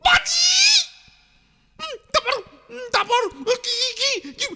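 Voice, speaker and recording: An actor voicing an irate, blustering bakery owner in a children's cartoon, with a creaky, strained voice and repeated words. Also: very high voice, animated, takes it slowly, shouting, very noisy, full character voice. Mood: furious. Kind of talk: exaggerated children's cartoon acting.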